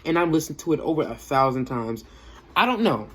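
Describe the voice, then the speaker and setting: A man's voice: a little high, big pitch swings, brisk, fairly bright tone, husky. A young man giving a casual, off-the-cuff music review in a slangy, emphatic way, often repeating himself for effect. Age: young adult.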